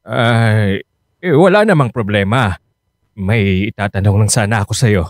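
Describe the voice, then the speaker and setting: A man's voice: fairly low pitch, unhurried, no room sound, close to an everyday voice. An actor playing a concerned, insistent middle-aged Filipino father in a radio drama, speaking earnestly. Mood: hesitant, casual. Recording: dry studio with music underneath.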